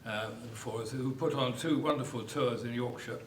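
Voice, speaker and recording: A man's voice: medium pitch, steady tone, normal speed. Older man addressing a meeting, echoey and distant. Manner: hesitant, rambling, repeats words. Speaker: club chairman. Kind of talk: informal welcome speech at a club meeting. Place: echoey hotel meeting room. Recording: echoey room, distant mic, faint noise.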